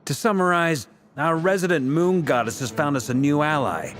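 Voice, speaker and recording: A man's voice: fairly high pitch, lively intonation, normal speed, a bit bright. A man voicing a laid-back, wry and confident commander in a video game. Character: easygoing seasoned male commander, wry and confident. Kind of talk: game dialogue acting.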